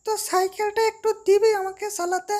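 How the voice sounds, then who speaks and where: A little fox boy acted out by a woman with an extremely high, thin voice. He is eager and childlike, like a small son in a fairy-tale cartoon. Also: level tone, fairly quick, darkish tone. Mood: pleading.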